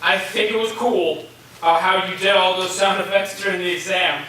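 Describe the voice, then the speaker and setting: A man's voice: high-pitched, fairly even intonation, normal speed, bright, forceful tone. A man playing an earnest, agreeable young student crewman in a staged play reading. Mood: admiring. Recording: echoey hall, far mic, background noise.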